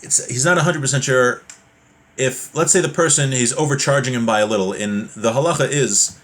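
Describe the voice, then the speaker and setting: A man's voice: medium pitch, a little fast, a bit bright. A man teaching a religious class informally, reading from a text and explaining it conversationally with everyday examples.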